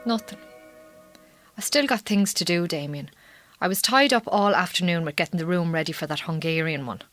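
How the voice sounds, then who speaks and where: An actress playing a weary, guarded Irish farmer's wife in a radio drama, strained and terse, with an Irish accent. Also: female voice, lowish voice, moderate pace, fairly bright tone, no room sound, faint music behind, lightly acted. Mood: curt, weary. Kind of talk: naturalistic radio drama dialogue.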